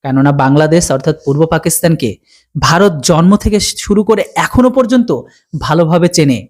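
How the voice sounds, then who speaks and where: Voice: mid-range voice, fairly quick. A channel host from India with an Indian Bengali accent, delivering news commentary.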